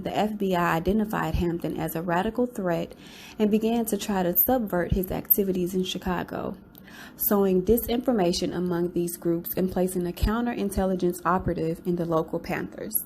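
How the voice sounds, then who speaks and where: A woman's voice: lowish voice, fairly quick, dark tone, slight background noise. A woman narrating a historical segment and addressing viewers directly. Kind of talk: informative narration.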